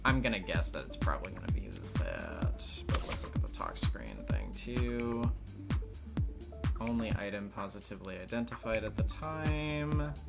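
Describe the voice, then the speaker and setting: A woman's voice: extremely low pitch, big pitch swings, moderate pace, gravelly. A woman live streaming a game playthrough, casually thinking aloud as she goes. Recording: loud game music behind the voice.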